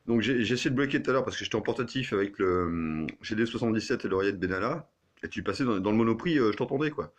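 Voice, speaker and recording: A man chatting casually as an amateur radio operator, excitable and slangy. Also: on the low side, quick pace.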